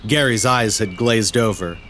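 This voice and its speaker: A man's voice: on the low side, measured pace, a bit bright, slight background noise. A man narrating a scripted audio story.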